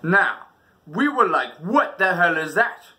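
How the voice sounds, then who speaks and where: A man's voice: high voice, sing-song, slow pace, bright, forceful tone. A man hosting a storytime, telling personal tales in a casual, dramatic way and acting out the moments. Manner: dramatic, casual, acts out dialogue and sounds. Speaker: storytelling channel host.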